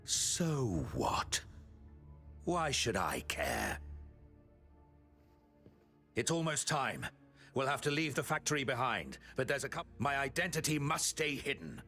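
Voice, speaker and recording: Wildly swooping, gravelly male villain. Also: medium pitch, big pitch swings, slow, pauses often, pushed and bright, faint music behind, full character voice. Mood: cold, commanding. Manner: theatrical, swinging from musing to menacing. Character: obsessive, callous, theatrical male puppet-maker villain. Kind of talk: video game villain acting.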